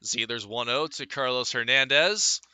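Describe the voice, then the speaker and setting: Bright, forceful male baseball play-by-play announcer. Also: medium pitch, moderate pace, clean tone, no echo. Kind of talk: live radio sports commentary. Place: live at a ballpark.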